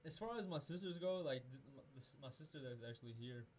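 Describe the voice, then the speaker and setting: A man's voice: a little high, lively intonation, fast, darkish tone, a little hiss or hum. A man in his twenties from Peru, hosting a casual podcast chat in a loose, profane, rambling way with many restarts. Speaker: podcast host.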